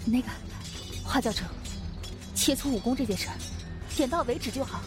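A woman's voice: high voice, fairly quick, over music, lightly acted. A woman playing a playful, earnest young swordswoman in a Chinese wuxia radio drama, with a hesitant, coaxing, theatrical delivery. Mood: flustered, alarmed.

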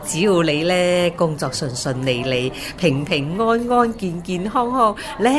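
A woman playing a doting, nagging eighty-year-old grandmother in an audio drama, with a Hong Kong Cantonese accent and coaxing, drawn-out phrases. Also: on the low side, background noise, lightly acted. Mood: pleased, fond. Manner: drawn-out, coaxing, scolding fondly.

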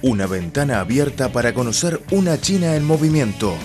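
A male announcer delivers a short radio ident with a punchy, sing-song lilt that rises and falls. Plucked guitar music plays clearly underneath him. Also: medium pitch, lively intonation, a little fast. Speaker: station announcer.

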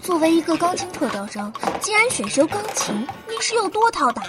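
A woman plays a young law student in a campus radio drama. Her voice is high and swings freely, lively and a little flustered, with music playing behind it. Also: fairly quick. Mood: playful exasperation.

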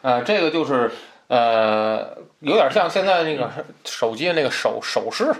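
A man co-hosting a discussion, explaining points casually in a halting, repetitive, loosely listed way. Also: medium pitch, expressive. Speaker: podcast co-host explaining a novel.

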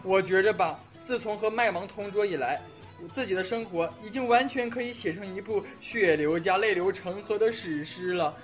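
A woman's voice: mid-range voice, normal speed, lightly acted. A woman acting an exasperated, dramatic teenage classmate in an audio drama, scolding theatrically. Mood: mock suffering. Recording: music playing behind.

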